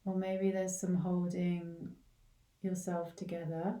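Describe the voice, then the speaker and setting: A woman leading a guided body scan meditation in a gentle, unhurried way, leaving long pauses between short phrases. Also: lowish voice, little pitch movement. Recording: roomy, echoing, distant from the mic.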